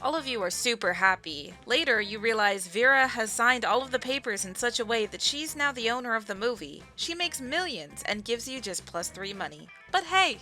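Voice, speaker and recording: A woman's voice: a little high, pushed and bright. A woman giving scripted comic narration for a visual novel dub.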